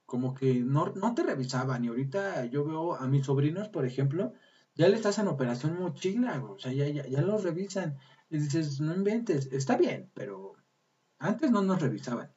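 A man's voice: a little high, big pitch swings, moderate pace, mellow. A Mexican man in his thirties co-hosting a casual podcast chat, joking and slangy, with a Mexican accent. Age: 30s. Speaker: podcast co-host. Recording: dull lo-fi sound.

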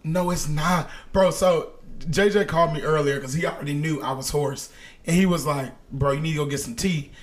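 A hoarse young man hosting a casual podcast chat, telling stories with lots of laughter. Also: fairly high pitch, fairly quick. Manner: animated storytelling, laughing often. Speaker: podcast host.

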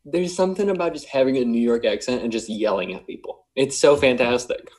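A man with a Michigan accent co-hosting a casual podcast chat, excitable and laughing often. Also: medium pitch, fairly quick. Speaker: podcast co-host.

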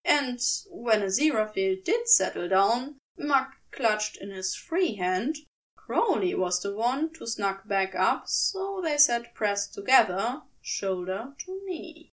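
A woman narrates a story in a high, very animated voice. She reads scripted prose expressively, shaping each phrase, and sits slightly back from the microphone. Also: slow pace, distant mic, rough recording. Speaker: podfic narrator.

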